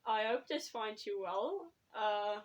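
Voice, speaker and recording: Woman, unhurried pace, casual vlog talk, hesitant, thinks aloud. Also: mid-range voice, animated, lo-fi sound. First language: Afrikaans. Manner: consults her notes while talking. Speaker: writer and vlogger.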